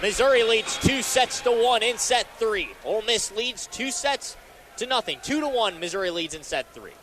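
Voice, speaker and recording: A man's voice: high-pitched, animated, normal speed, fairly bright tone, faint music behind. A young man calling live volleyball play-by-play as a radio announcer.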